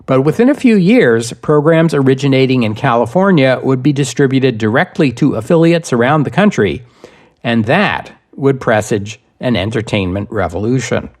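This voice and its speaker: A man narrating scripted history as a podcast host, in measured, deliberate phrasing. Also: medium pitch, animated, a little mellow.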